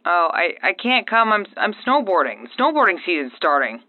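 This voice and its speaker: A woman playing a halting, excuse-making coworker on the telephone in a comic radio drama. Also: fairly low pitch, very animated. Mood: evasive. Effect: telephone filter. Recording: tinny, very noisy phone line.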